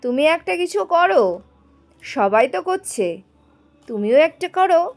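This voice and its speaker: Woman playing an encouraging high-voiced schoolteacher. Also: sing-song, slow, darkish tone, soft background music, heavily acted. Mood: coaxing. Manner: coaxing, instructing. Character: encouraging female schoolteacher hosting a school flag ceremony.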